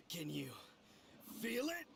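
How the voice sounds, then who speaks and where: A man playing a deranged, melodramatic young man obsessed with manliness in a video game, halting and theatrical. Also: high-pitched, very animated, creaky, heavy background noise, exaggerated voice. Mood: manic boasting. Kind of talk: anime-style video game voice acting. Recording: music behind the voice.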